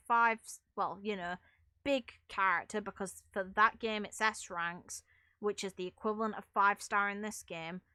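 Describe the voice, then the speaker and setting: A woman's voice: mid-range voice, pushed and bright, no room sound, studio quality. A woman streamer giving casual, opinionated gaming commentary in a blunt, emphatic, sweary way. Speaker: game streamer and video creator.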